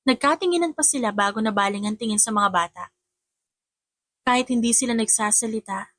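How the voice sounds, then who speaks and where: A Filipino woman narrates a scripted romance audiobook. Her voice sits on the high side, and the sound is very dry, with hardly any room to it. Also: a bit bright, no room sound.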